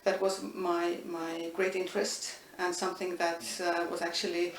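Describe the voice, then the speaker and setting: A woman's voice: fairly low pitch, flat delivery, moderate pace. Woman, roomy and distant. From Estonia. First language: Estonian. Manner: thoughtful, frequent hesitations and restarts. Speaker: interviewee, linguistics professor. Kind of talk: reflective interview answers. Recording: echoey room, distant mic, faint noise.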